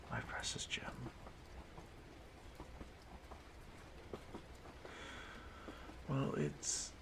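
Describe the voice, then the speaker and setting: A man playing an ageless stalker in an audio roleplay, speaking to his prey in a hushed, halting, softly menacing way, often breathy and whispering. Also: lowish voice. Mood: intrigued, menacing. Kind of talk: audio roleplay monologue addressed to the listener.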